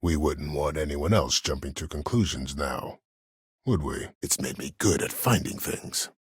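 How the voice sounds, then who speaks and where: An older military mastermind for a video game, with an extremely low, husky voice. He speaks formally and with measured, silky politeness that carries quiet menace. The sound is dry and close. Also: dry recording, heavily acted. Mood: calm, calculating. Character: calculating, courteous, menacing older male military schemer. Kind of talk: dramatic video game voice acting.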